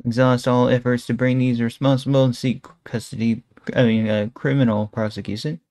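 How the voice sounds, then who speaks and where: A man's voice: mid-range voice, flat delivery, a little fast, a little mellow, faint music behind. A young man streaming a casual reaction, rambling with lots of fillers like "bro" and "guys", talking into a headset at home. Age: young adult. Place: indoor room at home. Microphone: headset, webcam framing.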